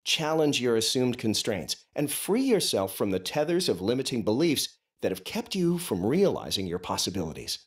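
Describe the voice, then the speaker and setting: A man voices a short motivational video with smooth, scripted narration. His pitch moves in a lively, animated way. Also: moderate pace, few pauses.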